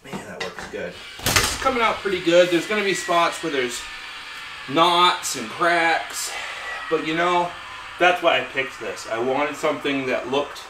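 A man's voice: fairly high pitch, background noise. A man casually narrating his own home renovation, folksy and chatty, talking straight to his viewers while he works in a farmhouse under renovation. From Maine. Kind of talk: casual DIY vlog narration. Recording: roomy echo of a bare room.

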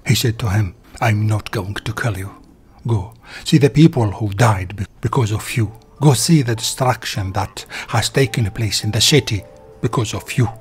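A man reads a scripted historical narration as a documentary narrator. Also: on the low side. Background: background music.

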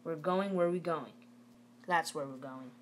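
A woman's voice: low-pitched, measured pace, a little hiss or hum. A woman reading aloud the part of a stern, plain-spoken father who lectures his son in a firm, folksy way. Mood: gruff, curt. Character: stern, plain-spoken Southern father, a working man urging schooling.

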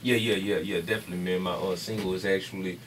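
Louisiana rapper guy chatting on radio, southern accent, lowish voice, easygoing, kinda far from the mic. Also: steady tone, quick pace, a bit bright, a little hiss or hum. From Baton Rouge, Louisiana. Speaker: rapper, interview guest. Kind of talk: casual interview chat. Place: small radio studio with people around. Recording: distant camera audio in studio.

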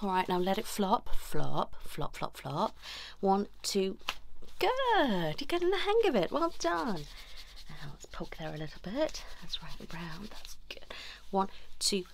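A woman's voice: mid-range voice, wildly swooping pitch, unhurried, gravelly. A woman in her thirties playing a chiropractor in an ASMR roleplay, giving short instructions and praise up close, slipping in and out of whispers. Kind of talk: fast ASMR roleplay, personal attention. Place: small indoor room. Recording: noisy lo-fi audio with clicks.

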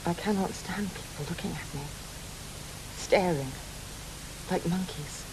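Strained recluse, creaky, slightly high voice, haunted, radio drama, hissy recording. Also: male voice, unhurried. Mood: anguished, brooding. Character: strained, reclusive man fearful of stares.

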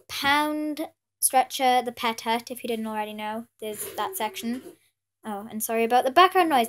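A British teenage girl with a cold, sniffly, chatting through a pet shopping haul, rambling with lots of fillers and apologies. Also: high voice. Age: teens. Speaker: pet channel host. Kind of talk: casual haul vlog. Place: indoors at a table with Christmas decorations. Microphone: camera filming her hands from above.

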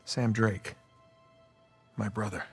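A man's voice: lowish voice, flat delivery, slightly rough, soft background music, full character voice. A man playing an earnest, evasive treasure hunter in a cinematic video game, with a natural American delivery. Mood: sheepish, halting. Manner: halting, stammering when cornered.

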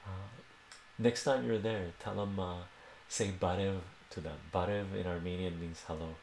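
A man's voice: sits low, fairly even intonation, moderate pace, darkish tone, roomy sound, a little hiss or hum. A middle-aged man from Iran giving a casual live math lesson at a whiteboard in a small home room, chatty and repeating himself, tagging his points with "right?". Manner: chatty, repeats phrases and tags "right?" often. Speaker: math streamer.

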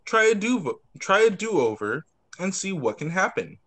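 A man teacher reading picture books aloud to young children on a video call, expressive, drawing out words and adding child-friendly asides. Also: sits high, unhurried. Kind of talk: animated read-aloud story time for young children.

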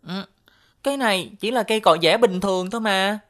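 A woman voicing a mischievous young schoolboy in an animated series, with an exaggerated, childlike delivery. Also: medium pitch, brisk, close to an everyday voice. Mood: playful, boastful. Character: mischievous young cartoon schoolboy, playful and grumbling.

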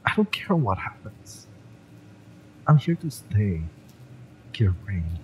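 A man playing a fiery, devoted mercenary in love, tough yet tender, in a romantic audio roleplay, with emphatic, halting delivery. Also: on the low side, sing-song, a little mellow, slight background noise, poor audio. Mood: exasperated, devoted. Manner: impassioned, emphatic, halting delivery with breathy drops.

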